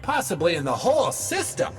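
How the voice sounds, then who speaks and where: A man voicing an excitable, childlike, eager little fellow in a video game, gushing exuberantly. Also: high voice, fairly quick. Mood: excited, adoring. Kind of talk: video game character acting. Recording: long reverberant hall, faint music.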